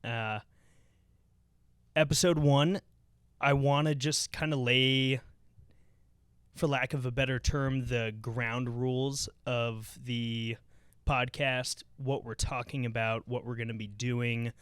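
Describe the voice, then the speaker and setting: A man's voice: mid-range voice, steady tone, takes it slowly, pauses often, a bit bright, clean tone, no room sound, clean studio sound. A young man hosting a casual podcast from his couch, talking loosely with lots of fillers and restarts. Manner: chatty, rambling with many fillers. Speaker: podcast host. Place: home living room.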